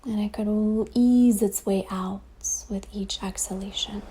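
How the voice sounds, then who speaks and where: A woman leading a guided meditation as a teacher, speaking softly and breathily in a soothing way with long pauses, over ocean waves. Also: medium pitch, fairly even intonation. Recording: faint ambient wave noise behind voice. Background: ocean waves sound effect.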